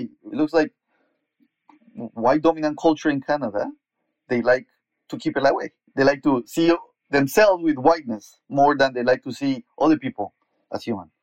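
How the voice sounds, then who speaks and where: A man's voice: medium pitch, no echo, highs cut off. A man from Colombia, an activist and educator, speaking as a guest in earnest, searching conversation with frequent restarts. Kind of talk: conversational interview.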